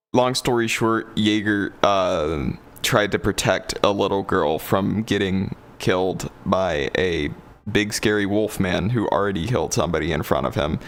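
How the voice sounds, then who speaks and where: A deep-voiced young man from the South, chatting casually on a livestream, rambling with fillers and laughing often. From Georgia, USA. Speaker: VRChat streamer. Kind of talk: casual livestream chat reading comments.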